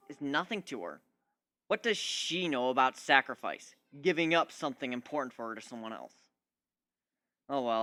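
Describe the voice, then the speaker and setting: An amateur narrator giving an expressive, conversational first-person dramatic reading of a fan story. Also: mid-range voice, clear, resonant tone, dry recording.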